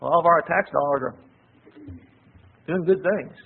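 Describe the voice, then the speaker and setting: A man preaching a sermon with a loud, husky voice, chatting casually and then rising to emphatic preaching. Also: a little high, a little slow, phone-quality sound. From United States.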